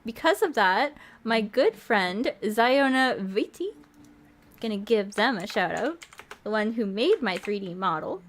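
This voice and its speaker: A woman's voice: a little high, animated, unhurried. A young woman streaming, chatty and playful, moving between casual chat and reading a visual novel aloud. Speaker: streamer and host.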